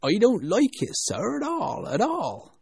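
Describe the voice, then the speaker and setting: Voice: mid-range voice, huge pitch swings, a little slow, creaky, darkish tone, breathy edge, dry recording, studio quality, voice pushed up, full character voice. A narrator voicing a meek, deferential male animal servant who pleads reluctantly in a storybook reading.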